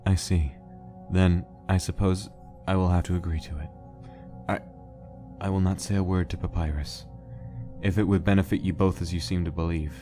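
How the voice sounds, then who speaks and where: An earnest male fire monster voiced by a man speaks formally, with a loyal friend's gentle reproach. His measured phrasing suits a dramatic comic dub, with faint music behind him. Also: deep voice, steady tone, lightly acted. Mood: solemn.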